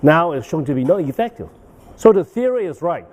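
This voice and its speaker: Older guy giving a conference talk, lots of uh and okay?, warm relaxed voice. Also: a little high, brisk. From Hong Kong. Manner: rambling, with frequent "uh" and "okay?" tags. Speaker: guest lecturer. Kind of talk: medical conference lecture. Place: banquet hall.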